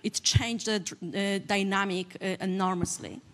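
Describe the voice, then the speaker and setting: A woman with a Polish accent who grew up in Poland, giving a measured talk as a conference panelist. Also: mid-range voice, steady tone, fairly bright tone.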